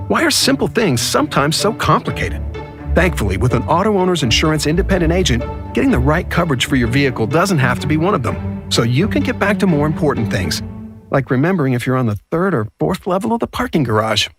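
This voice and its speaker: A man's voice: on the high side, very animated, brisk. A man reading a scripted radio commercial voice-over. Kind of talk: scripted ad narration. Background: clear music bed with guitar.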